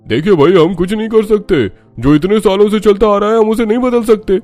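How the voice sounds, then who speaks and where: A man's voice: high voice, fairly quick, a little mellow, voice pushed up, lightly acted. A man playing a stubborn village headman in a cartoon story, firm and dismissive. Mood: stubborn, defensive. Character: stubborn village headman refusing to share river water. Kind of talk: Hindi cartoon story dialogue.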